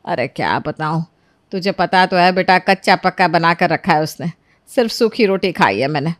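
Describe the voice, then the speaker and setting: A woman's voice: on the low side, lively intonation, moderate pace, no echo, clean studio sound, pitched below the performer's own voice, lightly acted. Scolding older mother-in-law played by a woman. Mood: plaintive. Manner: exasperated, berating and lamenting.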